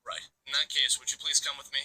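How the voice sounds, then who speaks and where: A man's voice: fairly high pitch, level tone, fast, pushed and bright, breathy edge, exaggerated voice, rough recording. A man voicing a polite, businesslike police detective in a visual novel, formal and restrained.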